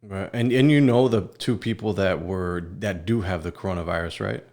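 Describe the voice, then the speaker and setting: A man's voice: deep voice, moderate pace, a little mellow. A man hosting a podcast, interviewing a guest in a casual, conversational style.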